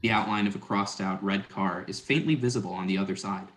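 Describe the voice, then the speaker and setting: A man serves as narrator for a dramatic script reading. His voice is on the low side, delivering scripted stage directions. The sound is noticeably echoey. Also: steady tone, a little fast, fairly bright tone, a little hiss or hum. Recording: roomy, echoing sound.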